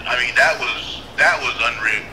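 A man from San Antonio, Texas, a wrestling trainer speaking as the guest in a casual interview, in a laid-back, unhurried way. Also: mid-range voice, reverberant, a loud crowd, poor audio.

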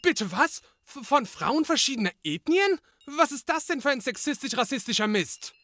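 A man's voice: very high-pitched, very animated, normal speed, bright, forceful tone, no room sound, heavily acted. An actor playing a fussy, easily flustered male detective's assistant in a German comedy audio drama, nervous and indignant. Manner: nervous, stammering when rattled, indignant.

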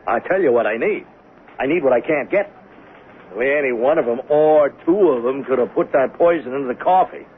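A man's voice: medium pitch, animated, brisk, narrow, tinny audio, close to an everyday voice. An actor playing a weary, suspicious investigator in a 1970s radio mystery, folksy and needling as he reasons aloud. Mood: exasperated. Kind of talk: 1970s radio drama acting. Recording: thin, faintly noisy 1970s radio broadcast.

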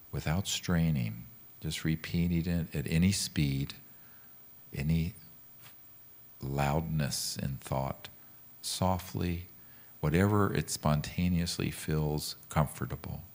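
A man leading a guided meditation as a teacher, speaking in a calm, gentle, measured way with pauses. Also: extremely low pitch. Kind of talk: guided meditation with mantra instruction.

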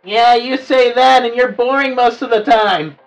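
A woman plays a small, grumpy cartoon devil with a high, whiny voice. The delivery is slow and petulant, full of complaint. The mic sounds a little distant, with faint music behind. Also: dull audio. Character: short, grumpy, whiny cartoon devil who hates work. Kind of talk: improvised cartoon roleplay.

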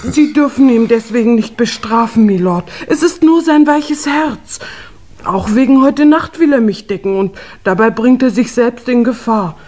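Woman playing shy young maid in an old radio play, high voice, pleading and very humble. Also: moderate pace, a little mellow, lightly acted. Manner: deferential, pleading, emotional. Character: timid, devoted young English housemaid in love. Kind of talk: 1950s German radio mystery acting.

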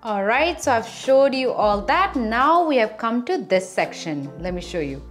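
Chatty woman listing her travel packing. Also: medium pitch. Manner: chatty, lists items one by one with small fillers. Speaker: vlogger showing her packing. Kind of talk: casual show-and-tell.

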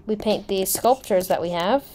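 A woman's voice: medium pitch, moderate pace, warm tone, lightly acted. A woman playing a helpful school teacher in a casual improvised game roleplay. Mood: explaining. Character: attentive school teacher and lunch server at private school. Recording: noisy home mic with room ambience.

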